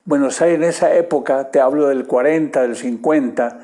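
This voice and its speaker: A man's voice: medium pitch, normal speed, mellow. A man being interviewed, reminiscing in spontaneous talk.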